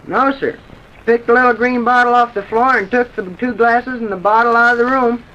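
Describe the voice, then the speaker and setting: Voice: mid-range voice, steady tone, fairly quick, pushed and bright, natural voice. A performer playing an earnest, respectful twelve-year-old boy in an old-time radio drama, speaking plainly. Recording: dull, noisy vintage radio recording.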